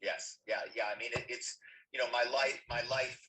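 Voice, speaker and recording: A man's voice: medium pitch, fairly even intonation, normal speed, pushed and bright, low-quality recording. A man, a writer and actor, answering interview questions in a thoughtful, rambling way.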